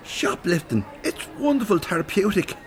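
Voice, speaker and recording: Cheeky older irish chancer, blunt and teasing, voice swings up and down, slight noise behind. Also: male voice, high-pitched, big pitch swings, normal speed. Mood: coaxing. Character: cheeky, blunt older working-class rogue and shoplifter. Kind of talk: audio drama acting.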